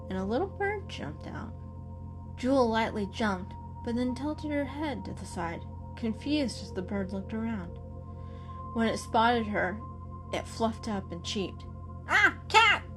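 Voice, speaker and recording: A woman's voice: mid-range voice, expressive, unhurried, over music, low-quality recording. A woman narrator giving a scripted, dramatic reading of a storybook.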